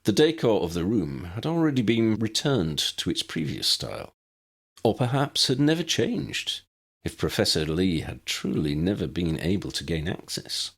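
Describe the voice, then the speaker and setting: A man's voice: deep voice, vocal fry, a bit bright. A man reading scripted fiction as an audiobook narrator.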